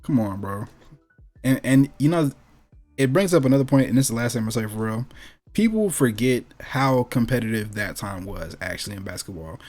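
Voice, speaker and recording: A man with a mid-pitched voice talks casually as a podcast co-host. He is animated and full of slang, doubling back on phrases to drive them home. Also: a little fast, soft background music. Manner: animated slangy storytelling, repeating himself for emphasis. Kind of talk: casual chat about movies and sports.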